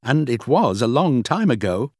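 A man's voice: medium pitch, big pitch swings, moderate pace, a little mellow, no room sound, studio quality, pitched above the performer's own voice. A man voicing a curious, earnest young woman researcher in an audiobook reading. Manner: polite, earnest, questioning.

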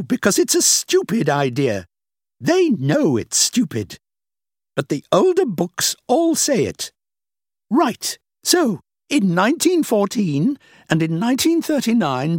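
A man voicing a sharp, skeptical older woman who lectures and scoffs, in an audiobook reading. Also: high-pitched, dry recording, studio quality, pitched above the performer's own voice, exaggerated voice. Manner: scornful, lecturing, emphatic.

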